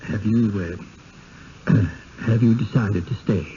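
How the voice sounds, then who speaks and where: An actor playing a timid, humble small-town villager in a 1970s radio drama, halting and folksy, as if confiding. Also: male voice, lowish voice, warm tone. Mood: furtive, pleading. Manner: halting, rustic folksy speech. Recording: muffled, hissy 1970s radio broadcast.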